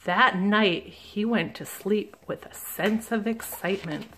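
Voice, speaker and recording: A woman, a children's librarian, reading a picture book aloud slowly and gently, stopping to ask the listeners questions. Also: medium pitch, a little hiss or hum, low-quality recording. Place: indoors at a table, camera above the book.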